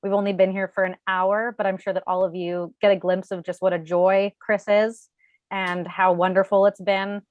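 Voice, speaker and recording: A woman's voice: mid-range voice, level tone, moderate pace, no echo, phone-quality sound. A woman from a support group giving a fluent, earnest tribute on a video call. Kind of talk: heartfelt tribute.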